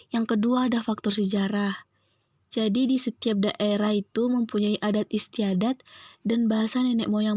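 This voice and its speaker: A young woman reads out a student presentation in a methodical way. Her voice is mellow and stays fairly flat in pitch. The sound is dry and close, with very little room. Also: no echo. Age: young adult. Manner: methodical, enumerating points. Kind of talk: scripted educational presentation.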